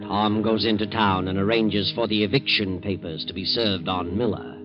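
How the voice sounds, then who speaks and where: An actor playing an ominous, all-knowing storyteller, narrating deliberately in 1940s radio style. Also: male voice, on the low side, fairly even intonation, lightly acted. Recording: dull vintage 1940s radio recording. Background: music.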